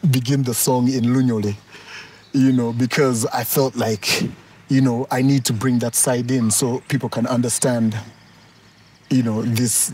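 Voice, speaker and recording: A man's voice: mid-range voice, a little mellow, a little hiss or hum. Ugandan man talking thoughtfully outdoors. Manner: reflective, with frequent restarts and chuckles. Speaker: musician and guest of a music talk show. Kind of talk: relaxed conversational interview. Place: outdoors on a golf course.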